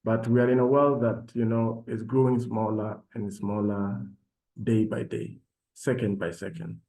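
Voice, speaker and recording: Lower-pitched South Sudanese man, measured and formal. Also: level tone, mellow, low-quality recording. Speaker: panelist and program alumnus. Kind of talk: panel talk, self-introduction. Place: online video session.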